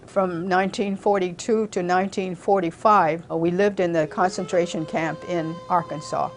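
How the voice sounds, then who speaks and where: A woman's voice: fairly low pitch, fairly even intonation, normal speed, soft background music. Thoughtful woman in her eighties. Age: 80s. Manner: thoughtful, with small hesitations. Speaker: interviewee. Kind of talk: documentary interview recollection.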